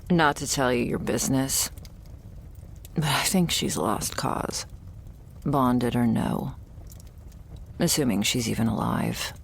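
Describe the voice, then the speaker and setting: Wry, guarded young female thrall, played by a woman for vampire ASMR roleplay. Deep fry, unhurried delivery, sardonic and breathy at the edges. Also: a little hiss or hum, full character voice. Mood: blunt, uneasy. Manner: dry, sardonic, hesitant musing.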